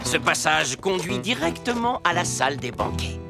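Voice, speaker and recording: A ceremonious cartoon castle guide, proud and showy. The delivery swings in pitch with a creaky edge, and music plays behind. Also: male voice, very animated, moderate pace, full character voice. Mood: proud, formal. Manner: ceremonious, showman-like. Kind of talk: dubbed children's cartoon dialogue.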